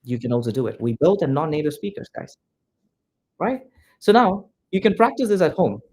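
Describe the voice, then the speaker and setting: A man's voice: on the high side, big pitch swings, normal speed, darkish tone, slightly rough. A man from India whose first language is Malayalam, teaching English pronunciation in a direct, repetitive classroom manner. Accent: Indian, neutralized English. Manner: direct, repetitive, addresses students as "guys". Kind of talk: classroom instruction to students.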